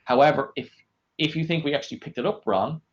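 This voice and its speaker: A man explaining his work in a conversational interview, measured and explanatory, as a podcast guest. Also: on the high side. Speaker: podcast guest, engineering director. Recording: dull, lo-fi podcast audio.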